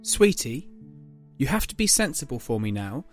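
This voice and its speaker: A man's voice: medium pitch, sing-song, moderate pace, soft background music, natural voice. A man playing an anxious, chiding father talking to his young daughter in an audio drama, exasperated and parental.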